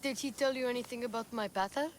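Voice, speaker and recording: A woman voicing an excitable young boy. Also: a little high, quick pace, slight background noise, lightly acted. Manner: excitable, interrupting. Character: eager young boy searching for his father. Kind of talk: video game cutscene acting.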